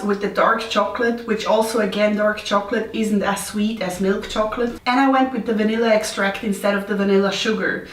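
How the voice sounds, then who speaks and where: Swiss accent woman doing a casual baking vlog, playful, bit far from the mic in an echoey kitchen. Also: fairly high pitch. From Switzerland. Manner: playful, informal, self-deprecating. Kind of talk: casual chat to camera. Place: indoors, home kitchen. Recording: roomy echo, distant mic, faint noise.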